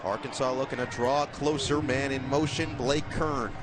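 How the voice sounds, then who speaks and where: A man's voice: medium pitch, fairly even intonation, moderate pace, over music. A man calling live college football play-by-play from a stadium booth, with a strong, projecting voice that lifts on big plays. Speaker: play-by-play announcer.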